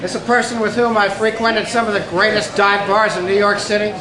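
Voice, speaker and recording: A man with a high voice hosts a live event, making a stage introduction. The room is echoey and a crowd can be heard around him. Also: little pitch movement, fairly quick. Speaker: host introducing a poet.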